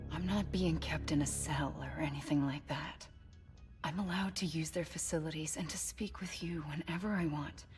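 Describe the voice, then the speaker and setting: An actress playing an earnest, idealistic American woman leader in a video game, speaking softly and sincerely with measured phrasing. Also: sits low, steady tone, natural voice. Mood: earnest, resolute. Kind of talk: dramatic cutscene acting. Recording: music under the dialogue.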